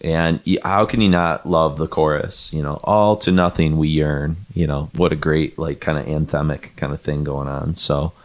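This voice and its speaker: A very low-pitched man talks through a music podcast in a loose, rambling way. He leans on "like" and "you know," stops and restarts, then settles into a thought. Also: steady tone, normal speed, hardly any pauses. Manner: rambling, many fillers and restarts; reads quotes aloud. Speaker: podcast co-host. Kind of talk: casual chat about music.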